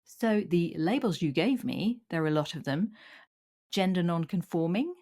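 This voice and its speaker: A woman's voice: fairly low pitch, animated, moderate pace, dark tone. A woman hosting a podcast, reading a scripted introduction and then interviewing her guest. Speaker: podcast host.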